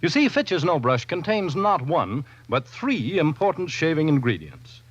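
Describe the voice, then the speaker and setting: Upbeat old time radio announcer guy, highish lively voice, selling stuff on a muffled dry recording. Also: unhurried, slightly rough, dry recording. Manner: upbeat, salesmanlike. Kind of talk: 1940s radio ad read. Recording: muffled vintage radio broadcast.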